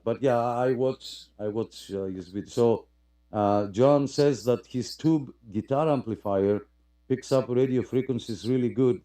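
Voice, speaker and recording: A man hosting a radio club live stream in casual, halting, unscripted chat, often repeating his phrases. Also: on the low side, dark tone.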